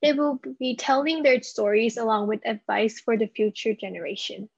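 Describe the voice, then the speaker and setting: A young female student interviewer reading scripted lines and questions softly. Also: a little high, normal speed, creaky, slightly rough, no room sound. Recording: dry, muffled lo-fi.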